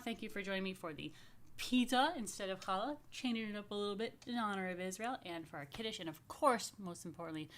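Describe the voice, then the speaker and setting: A woman's voice: mid-range voice, fairly bright tone. Casual, playful woman rabbi chatting to families. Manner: playful, informal asides; addresses viewers directly with questions and invitations. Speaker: rabbi hosting family story time.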